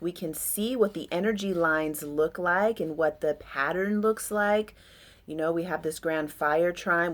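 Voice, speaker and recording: A woman with a deep voice talking about astrology in a loose, rambling, reflective way with many fillers. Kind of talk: casual astrology talk.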